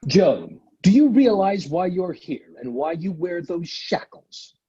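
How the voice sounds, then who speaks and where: Man playing pious medieval accuser, mellow, very lively pitch, condemning, dramatic table read. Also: takes it slowly, heavily acted. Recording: dull, lo-fi video call audio.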